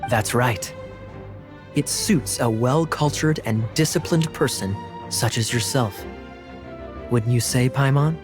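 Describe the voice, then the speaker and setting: A man voicing a suave, teasing young knight captain in a video game, speaking smoothly and playfully. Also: medium pitch, slow, pauses often, natural voice. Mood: gracious. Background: game music.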